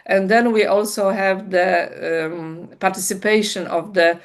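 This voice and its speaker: A woman interview guest giving reflective, explanatory answers, deliberate and halting as she searches for words. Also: medium pitch, steady tone. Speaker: cultural director, interview guest.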